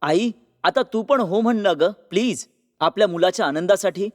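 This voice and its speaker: Cartoon family son, played by a man, high male pitch, earnest, persuasive, reverberant. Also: normal speed, a little mellow, natural voice. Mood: pleading. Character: earnest, pleading men of a family, son and father. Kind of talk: cartoon moral story acting. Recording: long hall-like reverb.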